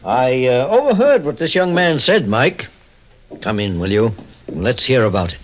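A man playing a calm, courteous old investigator who questions politely in a 1940s radio drama. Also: medium pitch, slight background noise, close to an everyday voice. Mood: calm inquiry. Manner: measured, polite, probing. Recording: muffled old radio recording.

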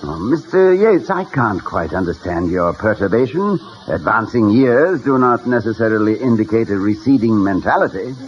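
An actor playing a courtly, erudite college president, wordy, hesitant and gently witty, in a 1950s radio comedy. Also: male voice, fairly low pitch, animated, normal speed, hardly any pauses, lightly acted. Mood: measured, bemused. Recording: dull, hissy old radio recording.

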